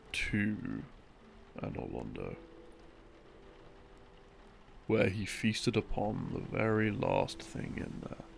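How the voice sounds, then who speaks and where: A man playing an easygoing boyfriend who tells rambling bedtime game lore in a casual, unhurried, conversational way. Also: on the low side, gravelly, slight background noise, heavily acted. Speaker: audio roleplay performer. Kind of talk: rambling bedtime storytelling, sleep-aid roleplay audio. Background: background music.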